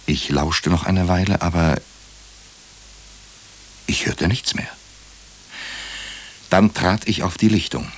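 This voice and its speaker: A man playing a nervous doctor who narrates his own night-time ordeal in a suspenseful, deliberate way for a radio crime drama. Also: low-pitched, husky, heavily acted. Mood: tense, watchful.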